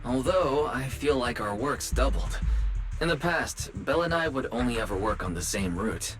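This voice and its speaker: A man playing an earnest, caring young brother in a video game, speaking in a gentle conversational way. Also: mid-range voice, expressive, normal speed, soft background music, natural voice, poor audio. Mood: easygoing. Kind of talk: naturalistic video game voice acting.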